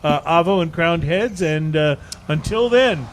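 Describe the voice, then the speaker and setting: Man, show host, affable, agreeable, slightly high voice, faint room noise. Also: animated, measured pace. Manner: affable, frequent agreeing interjections. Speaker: podcast host. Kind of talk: casual chat. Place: bar, live show.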